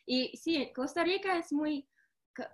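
Halting woman host searching for words. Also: high-pitched. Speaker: podcast host. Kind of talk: casual conversation in learned Spanish.

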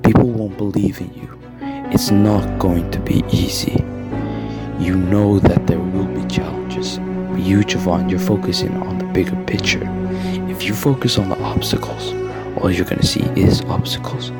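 A man's voice: sits low, steady tone, moderate pace, over loud music. A man playing an earnest, stern but caring basketball coach in an audio drama, speaking in solemn motivational speeches. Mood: grave, disappointed. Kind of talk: student audio drama acting.

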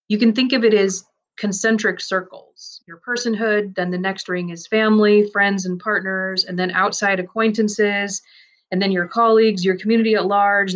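A woman narrating a man's first-person story aloud, in a measured, reflective and earnest way. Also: mid-range voice, fairly bright tone.

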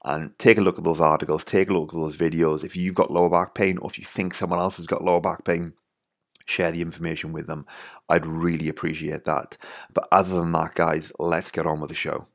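A man's voice: low voice, flat delivery, fairly quick. A man with a Welsh accent hosting a podcast, talking casually with lots of "you know" and restarts. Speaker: podcast host. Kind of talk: casual podcast intro monologue.

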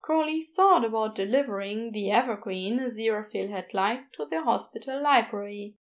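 A woman's voice: fairly high pitch, moderate pace. A woman reading a work of fiction aloud as a scripted narrator. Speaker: podfic narrator.

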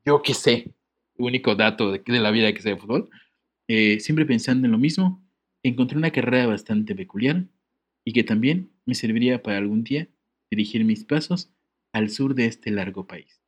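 A man's voice: medium pitch, moderate pace. A man co-hosting a podcast, reading a letter aloud and breaking off into jokes and laughter. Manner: joking, laughs often, reads with comic asides. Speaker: podcast co-host.

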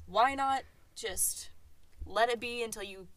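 A woman's voice: on the high side, unhurried, a bit bright. Young woman cohosting a podcast in a parked car, mid voice, dry jokey asides. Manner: wry, joking asides. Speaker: podcast co-host. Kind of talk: casual chat.

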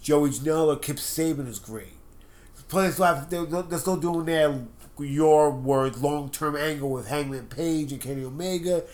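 A man's voice: a little high, a little mellow. A man with a stuffed-up nose, co-hosting a casual wrestling podcast and arguing his opinions at length. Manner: opinionated, talks at length in quick bursts. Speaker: podcast co-host.

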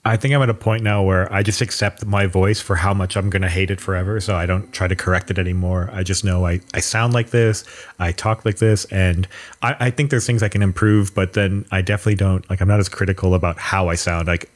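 A man's voice: low-pitched, level tone, a little fast. A man co-hosting a podcast in casual chat, rambling with frequent restarts and joking asides, speaking into a desk mic pointed up at his mouth. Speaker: podcast co-host. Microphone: desk mic pointed upward at his mouth.

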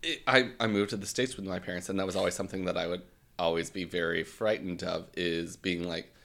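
Deep gravelly man, Swahili native speaker. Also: fairly even intonation, a little fast. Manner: thoughtful, hesitant, with restarts and soft laughs. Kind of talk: casual chat.

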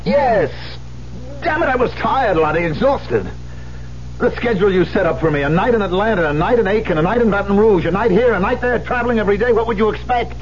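An actor playing a bitter, brooding middle-aged concert pianist in a 1970s radio drama, sardonic and volatile. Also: male voice, sits high, lively intonation, a little fast. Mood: agitated, despairing. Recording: dull vintage radio recording, faint hum.

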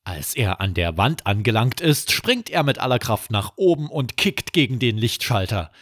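A man reading a scripted children's bedtime story as narrator, with expressive storytelling. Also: medium pitch, normal speed, dry recording, studio quality.

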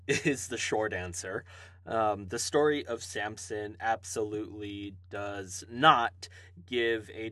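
Slow, low-voiced man teaching Bible. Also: a bit bright, no room sound. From Hawaii. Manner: earnest explanatory, with fillers. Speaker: podcast host answering Bible questions.

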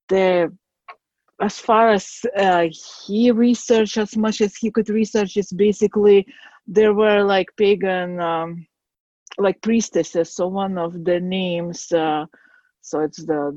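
Slow-spoken Lithuanian-accented woman. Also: medium pitch, a little mellow, dry recording, muffled recording. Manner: hesitant, many fillers and restarts. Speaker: guest, couture designer. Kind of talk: podcast interview.

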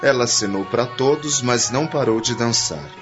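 A man's voice: medium pitch, normal speed, lightly acted. A man narrating a scripted radio drama. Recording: dull radio play with background music.

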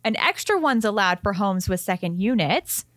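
A woman's voice: mid-range voice, expressive, moderate pace, fairly bright tone, no room sound. A woman with a Canadian accent chatting casually as a show co-host, often putting questions to her partner. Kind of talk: casual chat.

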